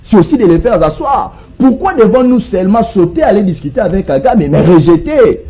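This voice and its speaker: A man's voice: high voice, sing-song, brisk, mellow. A man with a Congolese accent speaking as a guest in a televised political debate, emphatic and rhetorical, repeating phrases and answering his own questions. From Democratic Republic of the Congo.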